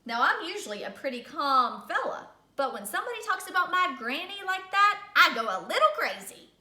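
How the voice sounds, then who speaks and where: A woman plays a chatty big bad wolf from a storybook, pleading innocence in a high, bright voice. The delivery is folksy and dramatic, and the room echoes. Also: big pitch swings, fairly quick, heavily acted. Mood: folksy, self-justifying. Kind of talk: children's picture-book read-aloud.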